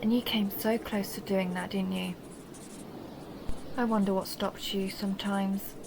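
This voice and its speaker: A woman's voice: mid-range voice, fairly even intonation, a little slow, vocal fry, noisy background. A woman playing a seductive, possessive vampire countess who teases and condescends in an intimate audio roleplay monologue. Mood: musing, taunting. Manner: teasing, mocking, intimate purring address.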